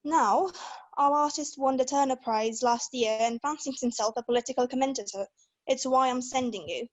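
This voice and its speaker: A domineering, needling male boss in his late fifties played by a woman in a script read. The voice is high and closely recorded, with very little room sound. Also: moderate pace, no room sound. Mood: menacing, needling. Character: pushy heavy-set male editor in his late fifties. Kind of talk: amateur script table read.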